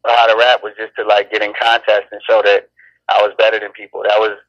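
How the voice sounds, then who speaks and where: Laid-back rapper on a dull line. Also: male voice, fairly low pitch, flat delivery, fast, a bit bright, no echo. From born in Chicago, raised in Los Angeles. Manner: laid-back, rambling with many restarts. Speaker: rapper, interview guest. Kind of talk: casual interview talk.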